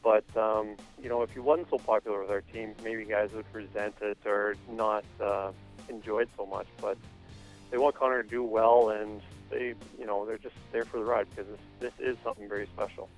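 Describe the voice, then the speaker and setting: A man speaking as a hockey coach in a phone interview, answering in a hesitant, measured way with many pauses. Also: fairly low pitch, steady tone, rough recording. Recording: phone interview with music underneath.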